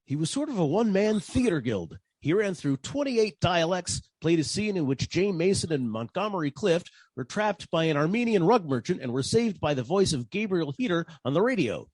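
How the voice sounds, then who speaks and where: An actor playing a weary, sarcastic private detective with a husky voice and an exasperated manner, in a 1940s style radio comedy. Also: male voice, high-pitched, big pitch swings, moderate pace, hardly any pauses, darkish tone, no echo. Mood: wry narration.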